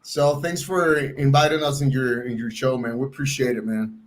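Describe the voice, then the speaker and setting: A man's voice: medium pitch, level tone, normal speed. A man, singer and guitarist in a band, friendly and laid-back in a casual interview. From Mexico.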